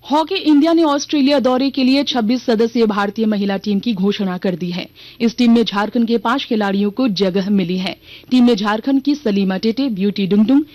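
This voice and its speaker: A woman reads a scripted regional radio news bulletin in a formal, measured manner. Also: on the high side, a little mellow, dry recording, dull audio. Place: radio studio.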